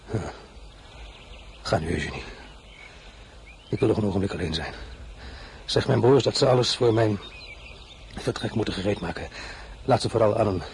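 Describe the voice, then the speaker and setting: An actor playing a proud, weary French emperor after his defeat, speaking in a measured, brooding way with flashes of bitterness. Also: lowish voice, gravelly, slight background noise. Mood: bitter. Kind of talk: radio play acting.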